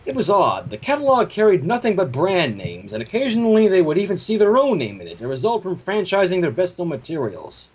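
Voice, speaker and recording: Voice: medium pitch, huge pitch swings, fairly quick, few pauses, slightly rough, low-quality recording. A person reading a fan story aloud and breaking off into casual commentary. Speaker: fan fiction reader.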